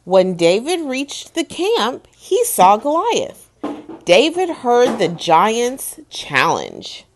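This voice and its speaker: A woman in her thirties hosting bedtime stories for children, with exaggerated, deliberate phrasing as she reads to young listeners. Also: a little high, huge pitch swings, a little hiss or hum. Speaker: host of a children's bedtime story series. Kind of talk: children's read-aloud, storytelling to young listeners. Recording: music behind the voice. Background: music.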